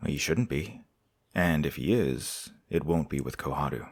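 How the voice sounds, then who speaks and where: An actor playing a courteous visiting prince in an audio drama, measured and formal in manner. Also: male voice, extremely low pitch, animated, no room sound, studio quality, heavily acted. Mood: grave, measured. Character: courteous, composed visiting foreign prince, a candid friend.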